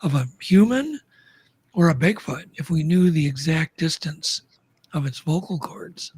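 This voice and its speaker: A man with a fairly high, very breathy voice talks as a podcast guest. He is earnest and casual, and he often restarts mid-sentence. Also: animated, measured pace, a little mellow, no echo.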